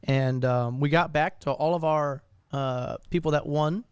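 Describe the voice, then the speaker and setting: A man co-hosting a podcast in casual chat, a bit raspy from illness, jokey and rambling with fillers and laughs. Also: fairly high pitch, unhurried, no room sound. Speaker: podcast co-host.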